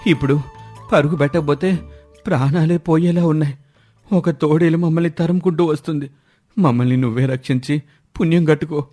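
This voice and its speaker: A man playing an earnest young villager who pleads urgently in an animated moral story. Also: a little high, a little fast, pauses often, faint music behind, natural voice. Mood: worried, urgent.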